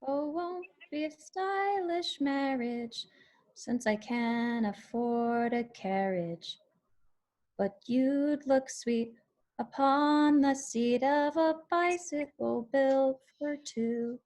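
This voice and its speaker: A woman playing a guarded, sharp-witted young country singer in a screenplay table read. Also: high-pitched, extremely slow. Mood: singing. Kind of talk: screenplay table read, with some soft singing.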